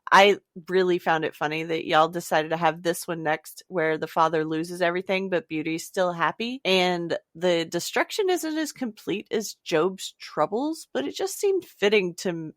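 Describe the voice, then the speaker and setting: A woman's voice: on the low side, moderate pace, few pauses, a bit bright, no echo. Woman co-hosting, close dry sound. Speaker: podcast co-host. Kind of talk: casual book discussion.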